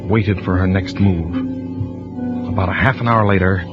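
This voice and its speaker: A man plays a low-voiced, suspicious husband who narrates his night in slow, brooding sentences. It is an old radio thriller, lo-fi and muffled, with a clear score running beneath. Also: steady tone, natural voice. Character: suspicious husband, grim first-person narrator. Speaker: lead actor and narrator. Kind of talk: 1940s radio drama acting. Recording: lo-fi old radio broadcast with music.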